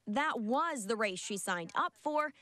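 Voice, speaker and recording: A woman's voice: a little high, animated, measured pace, background noise. A woman television news reporter delivering scripted narration.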